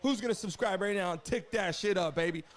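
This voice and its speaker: A man hosting a livestream with informal hype talk and excitable shout-outs to his chat. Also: sits high, brisk. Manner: informal hype talk, says "baby" often. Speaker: livestream host. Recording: loud game broadcast noise behind. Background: basketball game broadcast.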